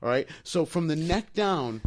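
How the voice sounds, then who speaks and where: Higher-pitched man improvising comedy banter. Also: animated, a little slow, a little hiss or hum. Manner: insistent, repetitive explaining. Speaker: podcast co-host.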